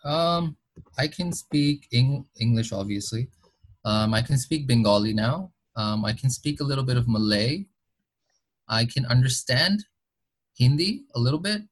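A young man with an American accent, born in Bangladesh, chatting as an interview guest in a relaxed, rambling way and laughing often. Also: medium pitch, a little slow. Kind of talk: casual interview chat.

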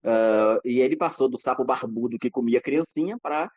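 A man's voice: medium pitch, normal speed, breathy edge, no echo. A Brazilian man talks as a podcast guest, circling his points with restarts and fillers. The sound is dry and a little muffled. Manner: discursive, many restarts and fillers. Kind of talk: reflective casual talk.

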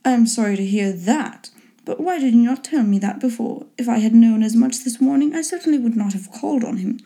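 A woman voicing a dry, teasing father in a storybook reading, in a measured, mock-formal manner. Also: on the high side, lively intonation, mellow. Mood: mock regret. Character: dry, teasing, unruffled father of grown daughters.

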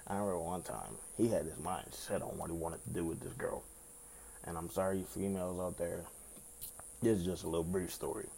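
A young man with a raspy voice hosting his own podcast in loose, rambling casual chat, swearing freely. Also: sits low, darkish tone, a little hiss or hum. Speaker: podcast host.

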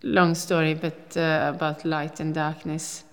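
A woman's voice: low voice, flat delivery, measured pace. A woman in her fifties from Norway, speaking with a Norwegian accent in a casual interview and telling stories in a chatty, rambling way. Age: 50s. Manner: chatty, rambling with restarts and laughs.

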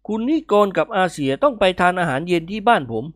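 A woman's voice: medium pitch, big pitch swings, fast, dry recording, clean studio sound, pitched above the performer's own voice, lightly acted. A woman voicing a courteous male official in a story, speaking formally, politely and insistently. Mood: eager, courteous. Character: eager, courteous gentlemen officials, pressing dinner invitations.

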